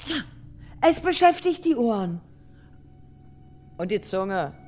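A woman playing a playful questioner in an experimental radio play, speaking in deliberate, repetitive, incantatory phrases. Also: mid-range voice, big pitch swings, darkish tone, faint music behind. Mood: musing. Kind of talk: experimental radio play, sound-poetry dialogue.

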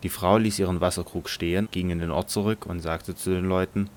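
A man narrating a scripted Bible audio drama in a measured storytelling manner. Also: deep voice, fairly even intonation, darkish tone, slight background noise.

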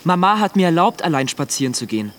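A man's voice: on the high side, big pitch swings, fast talker, a little hiss or hum. A young male performer in a radio drama playing a polite, eager thirteen-year-old boy. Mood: eager, dutiful. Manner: dutiful, eager.

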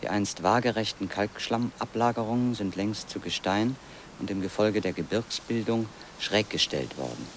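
An actor playing a skeptical, world-weary former magazine editor who narrates in a pensive, measured way in a radio drama. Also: male voice, on the low side, a little hiss or hum, natural voice. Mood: matter-of-fact. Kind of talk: German radio drama, introspective narration and dialogue.

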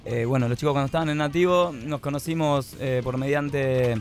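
A man in his late twenties with an Argentine accent, chatting casually and haltingly as an interviewed band singer, with a self-effacing manner. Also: mid-range voice, steady tone. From San Andrés de Giles, Argentina. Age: late 20s. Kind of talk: casual chat.

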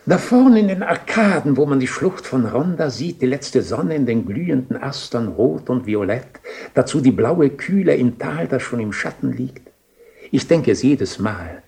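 A contemplative, urbane bishop for classic radio drama. His mid-pitched voice has a gravelly creak. He speaks in a genial, musing way with lively melody. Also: moderate pace. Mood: lyrical, musing. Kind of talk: German radio play acting.

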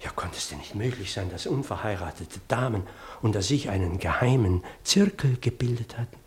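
A man's voice: lowish voice, animated, a little mellow, natural voice. Guy acting as a fussy old-fashioned parson in a radio play, dramatic, gets all flustered. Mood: bewildered. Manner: fussy, dramatic, halting when agitated. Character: flustered, pedantic English parson recounting his abduction. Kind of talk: German radio play storytelling.

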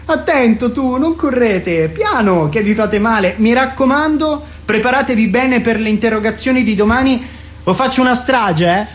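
An actor playing a guarded, firm but inwardly anxious substitute literature teacher in an audio drama. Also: male voice, extremely high pitch, lively intonation, moderate pace. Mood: agitated, pleading.

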